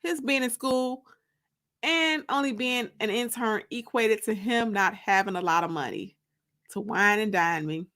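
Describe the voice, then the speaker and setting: A woman narrating a first-person story aloud in an expressive, confiding, emphatic way. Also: a little high, unhurried.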